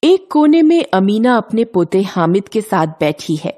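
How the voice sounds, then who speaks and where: Female narrator for scripted story: mid-pitched voice, unhurried, measured reading. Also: sing-song, warm tone.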